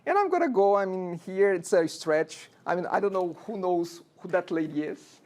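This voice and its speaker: A man's voice: high voice, lively intonation, normal speed, darkish tone. A man in his forties from Brazil, with a Brazilian accent, giving a casual classroom lecture as a programming instructor and often checking in with "okay?" and rhetorical questions. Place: university classroom.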